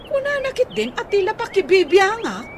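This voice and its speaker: Aggrieved young Ilocano Filipino wife, high and very animated, complaining in radio comedy, noisy track. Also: female voice, normal speed.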